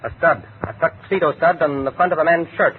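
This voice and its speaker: An actor playing a calm, shrewd, educated doctor turned amateur sleuth in a 1940s radio detective drama, speaking in a measured, deliberate way. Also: male voice, a little high, close to an everyday voice. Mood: reasoning. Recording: noisy, tinny vintage radio recording.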